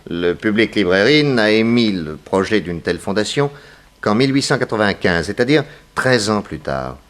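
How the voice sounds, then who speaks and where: Shrewd radio-play detective, male actor: commanding, accusatory, theatrical, pitch swinging widely. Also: expressive, moderate pace, close to an everyday voice. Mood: explanatory, assured. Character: shrewd, authoritative male detective confronting suspects. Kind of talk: 1960s French radio mystery acting.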